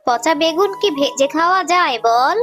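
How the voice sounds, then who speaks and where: A woman's voice: sits high, lively intonation, normal speed, a bit bright, soft background music. A woman voicing a firm, caring mother in a cartoon, chiding in a motherly way. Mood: chiding, concerned. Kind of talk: cartoon voice acting.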